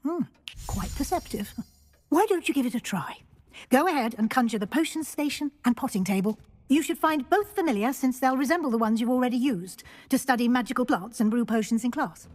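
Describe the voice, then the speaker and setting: A woman's voice: fairly high pitch, expressive, a little fast, darkish tone. An actress playing an encouraging witch professor in a video game, precise and instructive in manner. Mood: instructive, approving. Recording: reverberant hall.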